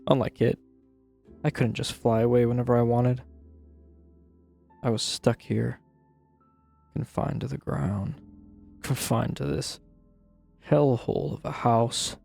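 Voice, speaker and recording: A man playing a troubled, guilt-ridden narrator confessing a grim childhood memory in a brooding, dramatic horror-story style, with a gravelly, husky voice. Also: mid-range voice, steady tone, slow pace, long pauses, darkish tone. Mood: bitter, brooding. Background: music.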